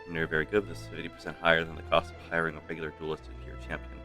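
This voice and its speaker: A man voicing a haughty, businesslike champion duelist from a video game, measured and hushed, reading dialogue aloud. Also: very deep voice, monotone, soft background music, exaggerated voice. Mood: cool, businesslike.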